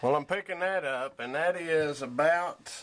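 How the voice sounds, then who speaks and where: A man's voice: a little high, normal speed, fairly bright tone. A man giving a casual, off-the-cuff demonstration in a folksy, laid-back way with hesitant phrasing. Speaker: hobbyist demonstrating a radio set-up. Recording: home camera with background noise.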